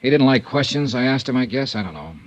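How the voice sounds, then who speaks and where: A man's voice: mid-range voice, lively intonation, fast talker, highs cut off, close to an everyday voice. An actor playing a blunt, sardonic insurance investigator who narrates his own case in a 1950s radio noir drama. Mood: probing. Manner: dry, clipped, sardonic. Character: hard-boiled insurance investigator, wry and blunt. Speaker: narrator and lead detective.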